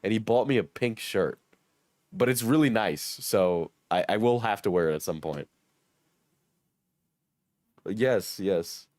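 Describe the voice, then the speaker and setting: A hoarse young man with a cold, joking and swearing casually as he reads his chat on a livestream. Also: lowish voice, measured pace, long pauses, a little mellow, no room sound, studio quality. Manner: joking, self-mocking, swears. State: hoarse, sick. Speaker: streamer reading chat.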